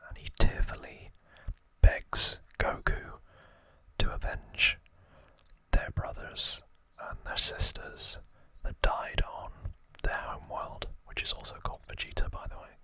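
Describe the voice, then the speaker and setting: Slow, breathy whispering man. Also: no room sound, poor audio. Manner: hushed, slow, halting delivery. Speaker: ASMR host telling trivia. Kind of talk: whispered ASMR storytelling.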